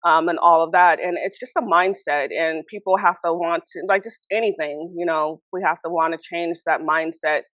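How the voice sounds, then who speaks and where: A woman with a somewhat low voice chats casually on a podcast, laughing often and trailing off with fillers and restarts. The sound is dry and a little dull and lo-fi. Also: steady tone, normal speed, few pauses, no echo. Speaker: guest, founder of a cleaning products brand.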